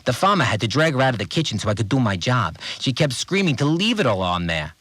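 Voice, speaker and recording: An actor playing an obsessive, resentful cleaner confiding in a dramatic audio diary, agitated and brooding. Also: male voice, medium pitch, very animated, fast talker, a bit bright, no echo. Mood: weary frustration. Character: obsessive, unhinged male cleaner, seething with murderous resentment. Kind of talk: dramatic first-person audio diary monologue.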